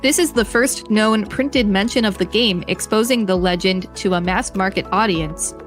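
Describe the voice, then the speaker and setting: A woman co-hosting a podcast, reading an encyclopedia article aloud in a clear, measured way with brief reactions. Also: mid-range voice, soft background music.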